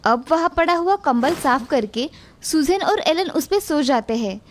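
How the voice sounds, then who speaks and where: A woman narrating an animated children's story in a steady, clear storytelling manner. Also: high-pitched.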